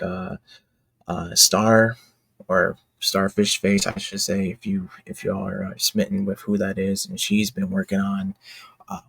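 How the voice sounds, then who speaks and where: A man giving casual, unscripted commentary as a creator, with a husky, gravelly voice and a halting, rambling, self-correcting way of talking. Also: low-pitched, fairly bright tone, no room sound. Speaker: indie manga creator and narrator.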